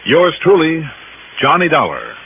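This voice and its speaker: An American actor playing a wry, breezy insurance investigator who banters and wisecracks in an old radio drama. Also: male voice, a little high, huge pitch swings, unhurried, gravelly, heavy background noise, heavily acted. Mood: dry, businesslike. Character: wry, breezy freelance insurance investigator, sociable and money-minded. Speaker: star of a radio detective series. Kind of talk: 1960s American radio acting and narration. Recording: tinny old radio broadcast, loud music.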